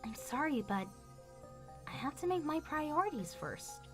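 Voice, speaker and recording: A high-voiced, caring pony princess from a cartoon, acted by a woman, firm and earnest as a worried guardian. Her delivery swings widely and pleads emphatically, with clear music behind it. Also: sing-song, measured pace. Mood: apologetic, firm. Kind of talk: animated cartoon drama acting.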